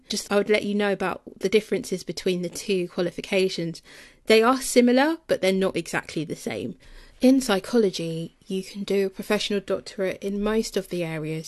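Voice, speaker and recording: A woman hosts a podcast, laying out an explanation in a clear, even way before turning to her guest. She sounds close to the mic, with almost no room sound. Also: mid-range voice, dry recording. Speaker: podcast host. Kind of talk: explanatory intro, then interviewing.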